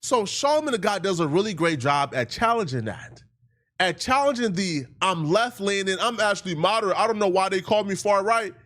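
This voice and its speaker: A man with a high voice delivers political commentary. His pitch moves widely as he talks. He is emphatic and mocking, using plenty of slang. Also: animated, brisk, a bit bright.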